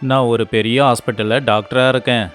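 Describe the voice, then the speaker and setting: A man voicing a busy, authoritative doctor in a storybook tale, brisk in manner. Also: medium pitch, flat delivery, fairly bright tone, soft background music, natural voice. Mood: hurried.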